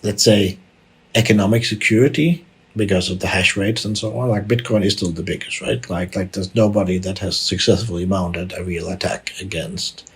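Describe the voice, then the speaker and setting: Very deep, husky-voiced man from Denmark. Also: moderate pace. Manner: frequent restarts, repeated words and fillers. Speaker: podcast guest, tech executive. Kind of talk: conversational interview answers.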